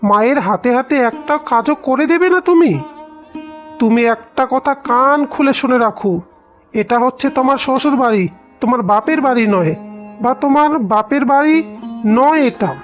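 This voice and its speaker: Woman acting stern young cartoon husband, high voice, indignant, scolding, dull sound with music underneath. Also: moderate pace, close to an everyday voice. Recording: dull audio with music behind.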